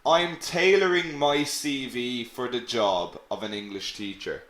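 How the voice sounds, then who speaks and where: A man's voice: medium pitch, fairly bright tone, reverberant. An Irish man who teaches English, walking learners through CV writing in an online lesson and checking in often with "okay?". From Ireland. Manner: explanatory, checks in with frequent "okay?"; slow, deliberate delivery.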